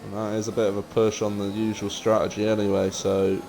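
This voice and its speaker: A man's voice: on the low side, completely flat delivery, a little fast, creaky, darkish tone, slight background noise. A man casually commentating a sim racing stream in a laid-back, matter-of-fact way, reading out viewer chat between updates. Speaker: esports race commentator.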